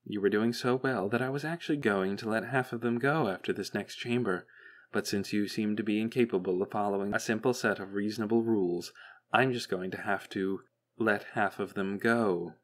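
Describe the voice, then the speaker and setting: A paranoid female machine overseer from a story, read by a man. The delivery is low, sharp and condescending, full of cold sarcasm and threat. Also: normal speed, natural voice. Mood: cold menace.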